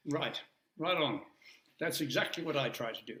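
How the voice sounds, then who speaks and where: Older male speaker, talkative and jokey, slightly high voice, roomy echo. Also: animated, a little slow, bright, forceful tone. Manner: chatty, jokey, rambling with asides. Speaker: guest speaker. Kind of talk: informal talk to an audience.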